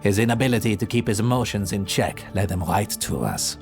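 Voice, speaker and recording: A man voicing a brooding, protective male vampire lord in an audio drama, smooth and lilting, sinking into low menacing murmurs. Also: animated, a little fast. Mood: calm, scheming. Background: soft background music.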